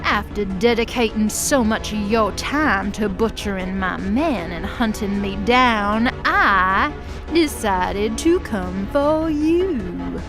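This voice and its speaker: A woman playing a vain, playful demoness villain in an audio drama, with a showy, mocking, drawn-out delivery. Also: mid-range voice, animated, music in the background. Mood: gloating.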